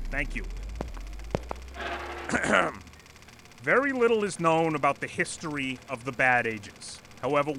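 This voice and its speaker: An actor in an audio drama playing an earnest, stubborn former manager who lectures formally and pleads insistently. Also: male voice, fairly high pitch, background noise. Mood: formal, grave. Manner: formal, insistent, speech-making. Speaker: presenter at a company meeting. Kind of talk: audio drama acting, satirical dystopian comedy.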